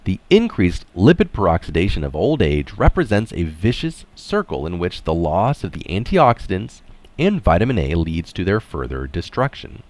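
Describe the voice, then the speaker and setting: Deep-voiced man reading an article, lively intonation. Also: moderate pace, no room sound. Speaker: reader and commentator. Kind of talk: read-aloud article with informal asides.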